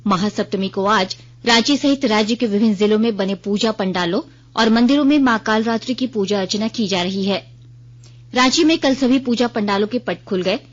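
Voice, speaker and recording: A woman's voice: medium pitch, normal speed. A woman reads a formal scripted regional news bulletin on the radio as the newsreader. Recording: dull lo-fi radio broadcast.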